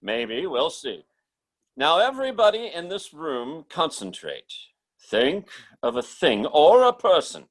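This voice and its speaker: A man plays a nightclub mind reader in an old radio play. His voice rides high and swoops widely as he stammers and halts with theatrical urgency. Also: huge pitch swings, measured pace, heavily acted. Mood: showy, grandiose. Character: agitated nightclub mind reader, showy on stage, urgent offstage. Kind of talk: old-time radio drama acting.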